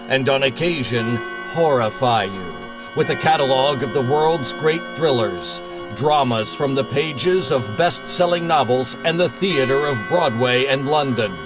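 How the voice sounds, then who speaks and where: Male actor as 1940s thriller-show announcer: stately, dramatic, slow-paced, medium pitch. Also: lightly acted, rough recording. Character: formal 1940s radio announcer introducing thrillers.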